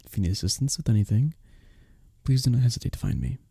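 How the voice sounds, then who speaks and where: A man playing a courteous, smitten young village innkeeper in an intimate romantic fantasy roleplay, speaking softly and breathily with formal, bashful phrasing. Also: mid-range voice, animated, fast talker, vocal fry, husky, no room sound, studio quality. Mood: gently attentive.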